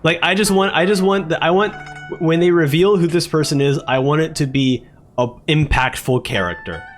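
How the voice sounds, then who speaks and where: A man giving live reaction commentary on a video game, excitable and often repeating himself. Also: on the high side, normal speed, soft background music. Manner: excitable, stammering repeats, chanting asides.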